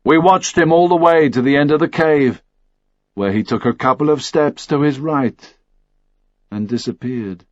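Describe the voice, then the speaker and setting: A man's voice: on the high side, moderate pace, no echo, muffled recording. A man reading a first-person action thriller as scripted audiobook narration.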